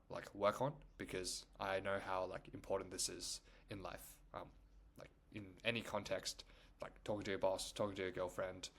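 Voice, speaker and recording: Young male YouTuber, native Chinese speaker, lowish voice, loose rambling delivery. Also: vocal fry, fairly bright tone, slight background noise. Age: young adult. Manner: rambling, full of "like" and "you know". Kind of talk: casual talk to camera.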